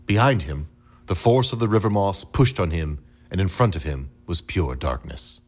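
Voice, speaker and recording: A man reading scripted storybook narration for an audio adventure game in a measured, dramatic storytelling manner. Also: low-pitched, lively intonation, lo-fi sound.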